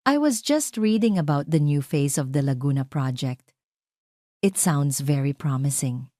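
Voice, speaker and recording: Lady doing a calm young wife who wont back down, clear careful talk, audio drama, dry sound. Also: low voice, measured pace, no echo. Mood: calm poise.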